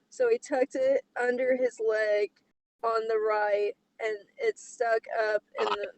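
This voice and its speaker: A woman's voice: a little high, a little mellow, rough recording. A woman casually reading a story aloud and commenting on it as a co-host.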